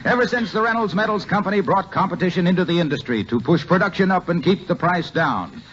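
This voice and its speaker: A noisy, muffled old radio recording carries a cheerful, boastful salesman in a 1950s comedy show. His voice is notably high for a man, and he delivers everything like a jolly, self-satisfied sales pitch. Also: lively intonation, quick pace, a bit bright.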